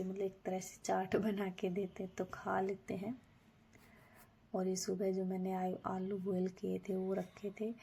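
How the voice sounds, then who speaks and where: A woman with a sore throat narrating her daily routine in a low, breathy half-whisper, unhurried and homely. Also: mellow, slight background noise. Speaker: vlogger.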